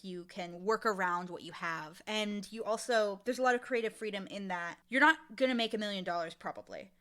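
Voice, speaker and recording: Woman, twenties, bright forward voice at medium pitch, candid and animated interview guest. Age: 20s. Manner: animated, candid, self-deprecating storytelling. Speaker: audio drama showrunner, interview guest. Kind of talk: casual interview chat.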